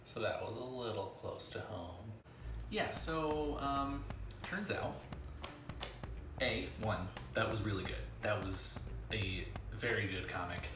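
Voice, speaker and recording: A man hosting a casual comic book review vlog, talking haltingly with pauses mid-sentence and wry, self-aware asides. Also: on the low side. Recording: echoey room, music underneath.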